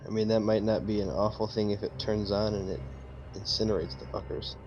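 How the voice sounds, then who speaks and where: A man's voice: lowish voice, little pitch movement, brisk, a little mellow, dull audio. A man playing a tabletop roleplaying game, talking casually with the other players. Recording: faint background noise.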